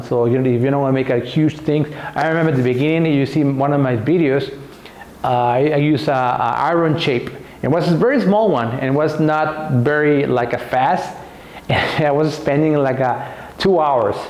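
Man, 30s, halting casual tool talk, mid-pitched voice, echoey workshop room. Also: a little mellow. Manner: halting, repeats words, many fillers; gestures with hands while talking. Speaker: woodworking YouTuber. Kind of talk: casual tool recommendation vlog. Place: home workshop, indoors.

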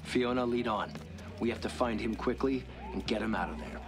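An actor playing a level-headed, terse mercenary squad leader in a video game. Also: male voice, medium pitch, moderate pace, music in the background, close to an everyday voice. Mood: steady. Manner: terse, commanding.

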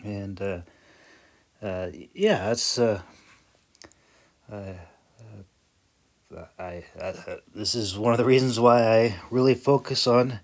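Slow-talking, hesitant man. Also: lowish voice, fairly even intonation, a little mellow. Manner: hesitant, self-deprecating, chuckling. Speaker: video creator and hobby audiobook narrator. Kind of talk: casual rambling vlog voice-over.

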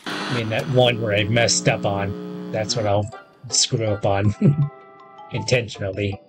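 A man in his thirties giving casual, halting gameplay commentary, thinking aloud as he plays. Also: lowish voice, steady tone, music in the background. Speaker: gamer recording a playthrough.

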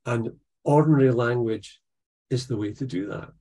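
A man in his sixties with a Dundee Scots accent, a poet leading an online writing workshop over a video call from an attic room at home, thoughtful and unhurried, with frequent restarts. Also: mid-range voice, dark tone. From Scotland. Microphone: video call, laptop camera.

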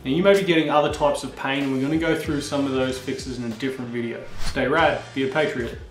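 A man in his thirties hosting a ski gear tutorial, talking straight to camera in a casual, energetic way. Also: mid-range voice, fast. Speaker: host. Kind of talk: upbeat instructional vlog. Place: small indoor room with skis on wall. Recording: roomy echo with faint music.

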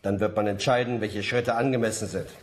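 A man's voice: fairly low pitch, steady tone, fairly quick, natural voice. A man acting a stern university academic in a radio drama, formal and curt. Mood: stern, cold.

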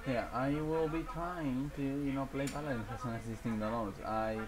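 A man's voice: medium pitch, fairly even intonation, moderate pace, crowd noise. A man chatting casually on a livestream as a gaming streamer, rambling and hesitant and often repeating himself.

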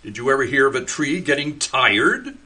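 A man's voice: medium pitch, very animated, moderate pace, husky, heavily acted. A man playing a dreamy husband becoming a tree. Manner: gentle, dreamy, whimsical. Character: mild middle-aged husband turning into a tree. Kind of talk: community radio theater acting.

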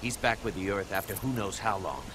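A man's voice: lowish voice, a little fast, natural voice. A man voicing a brash, blunt young American assassin in a video game cutscene. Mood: angry. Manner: cocky, blunt, casual. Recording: clear background noise.

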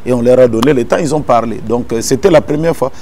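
A middle-aged man born in Kinshasa, a gospel singer and pastor, calmly explaining events in a sit-down interview and retelling them with repeated phrases. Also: mid-range voice, fast, over loud music. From born in Kinshasa, Congo. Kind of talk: calm explanatory interview answers. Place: indoor room with potted plants.

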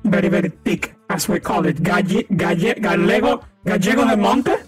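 A man's voice: high voice, normal speed. A man with a Venezuelan accent from Maracaibo, a native Spanish speaker, chatting casually while streaming a shooter game with a friend, laughing often and calling out enemies. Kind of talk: casual co-op gaming chat. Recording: game music playing loudly behind. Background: game music.

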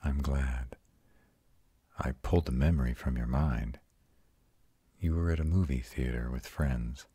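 A man playing a proud but tender vampire lover in an intimate comfort roleplay, speaking in a soothing, reassuring way with a breathy, husky voice. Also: a bass voice, measured pace, pauses often, warm tone, no echo, full character voice. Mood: soothing, patient. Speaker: voice actor in a roleplay audio.

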